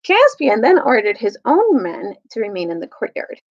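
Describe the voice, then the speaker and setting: A woman leading a casual storytime as a teacher, speaking haltingly, searching for her words and correcting herself. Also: a little high, wildly swooping pitch. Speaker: storytime teacher reading aloud. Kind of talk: casual recap and storybook read-aloud. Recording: dull lo-fi recording.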